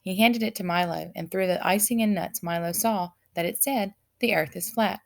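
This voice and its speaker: A woman reading a children's story aloud as an expressive narrator. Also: on the low side, normal speed, vocal fry. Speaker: audiobook reader.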